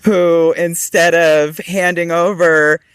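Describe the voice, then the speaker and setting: A man with a smooth, resonant voice gives casual interview answers as an actor. He talks in a halting, informal way, recorded dry and close. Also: on the high side, fairly even intonation, a bit bright, no room sound. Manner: halting, informal, frequent filler "like". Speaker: actor, guest speaker. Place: online video meeting.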